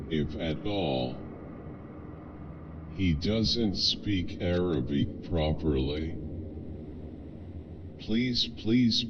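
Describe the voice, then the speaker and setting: A synthetic male voice reading out online comments in a flat, halting delivery that runs sentences together. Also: low-pitched. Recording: dull lo-fi audio with steady hiss.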